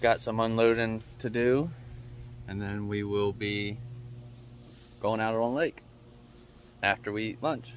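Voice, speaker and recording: Hombre joven, tranquilo, en un lago. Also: male voice, lowish voice, fairly even intonation, measured pace, pauses often. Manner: easygoing, laid-back, talks to viewers. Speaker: fishing vlogger. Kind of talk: casual vlog chat to camera. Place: car, then outdoors on a boat on a lake. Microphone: phone held at arm's length. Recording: noisy outdoor phone recording.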